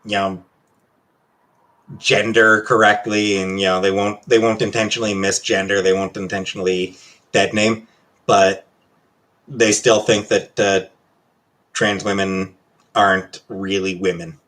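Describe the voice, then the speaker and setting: A man with a Canadian accent chatting casually on a livestream as he answers questions, halting and hesitant, often trailing off. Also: on the low side, little pitch movement, fairly bright tone. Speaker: livestream host.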